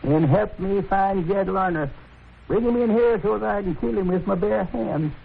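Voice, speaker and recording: Gravelly, bitter frontier hunter. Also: male voice, high-pitched, moderate pace, full character voice. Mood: vengeful. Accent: American. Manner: gruff, rustic, resentful. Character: bitter, proud, crippled frontier buffalo hunter. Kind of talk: 1950s radio western acting. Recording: tinny, faintly noisy old radio recording.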